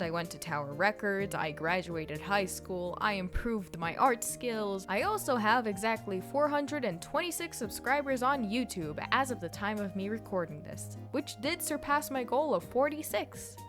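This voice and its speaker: A nineteen-year-old from Canada whose first language is Russian, telling a story in a casual, animated, self-deprecating vlog. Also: medium pitch, normal speed, hardly any pauses, music in the background. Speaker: vlogger.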